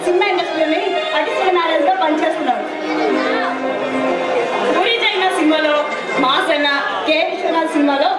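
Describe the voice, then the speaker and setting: A woman's voice: high-pitched, lightly acted. An actress playing a chatty, assertive young woman in a stage play, recorded in an echoing hall. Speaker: stage actor. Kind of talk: Telugu stage play acting. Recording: echoey hall from far off, music, crowd noise.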